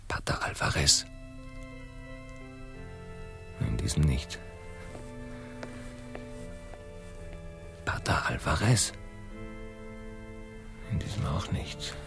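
An actor in a satirical radio play, playing a restless, frustrated young explorer who pleads and insists. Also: male voice, rumbling low, big pitch swings, unhurried, creaky, sharp tone, whispered, faint music behind, full character voice. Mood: searching, muttering. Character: restless, frustrated young Columbus as dreamer and schemer.

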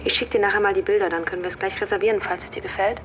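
An actress plays an overworked partner in a naturalistic radio play. She talks casually and frankly in a medium-pitched voice, like everyday conversation between a couple. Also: fairly even intonation, racing, slight background noise, natural voice. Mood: weary, dry.

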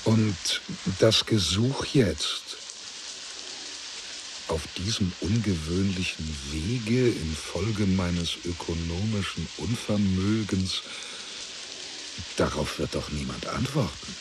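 A man narrating a literary radio drama in a measured, deliberate way. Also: sits low, noisy background.

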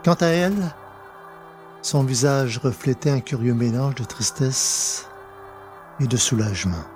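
A male narrator reads a dramatic story at a very unhurried pace. His voice is mid-pitched and he places deliberate pauses between phrases, with soft music behind him.

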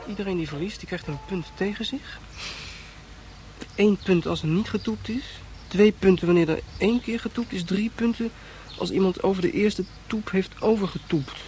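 An actor playing an earnest youngster who explains rules in an instructive, matter-of-fact way in a radio play. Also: male voice, high voice, normal speed, a little mellow, faint music behind, lightly acted.

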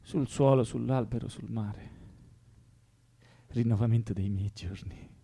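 Volatile anguished young guy in a radio drama, husky voice, mellow, keeps repeating stuff. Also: on the low side, unhurried, no room sound, exaggerated voice. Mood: tender, reciting. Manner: volatile, repetitive, drifting into whispers. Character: anguished, erratic young leftist man, pleading and playful. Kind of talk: naturalistic radio drama acting.

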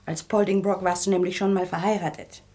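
An actress playing a plaintive, self-pitying young gangster's wife in a satirical radio crime comedy. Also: female voice, on the low side, fairly even intonation, fairly quick, dark tone, close to an everyday voice.

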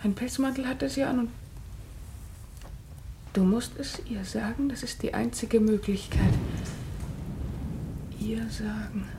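An actress playing a betrayed wife in a German radio drama, outwardly polite yet wounded and insistent. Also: female voice, on the high side, fairly even intonation, takes it slowly, gravelly, long pauses, background noise. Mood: wounded, shaken.

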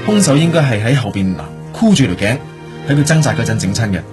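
A man's voice: medium pitch, very animated, quick pace, music in the background, close to an everyday voice. A man with a Hong Kong accent playing an analytical crime-scene investigator in a radio drama.

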